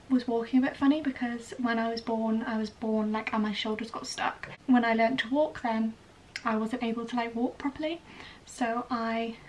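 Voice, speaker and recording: A young woman from Wales chatting casually to camera in a get-ready-with-me vlog, recorded in a bedroom. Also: on the high side. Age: young adult. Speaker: vlogger, ballet dancer in training. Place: small bedroom indoors. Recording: slightly distant mic, clearly noisy room.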